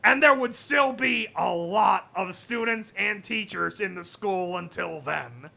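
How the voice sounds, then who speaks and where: A man hosting a livestream, reading a comic story aloud in an animated, dramatic way with casual asides. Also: high-pitched, a little slow, creaky. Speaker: livestream host.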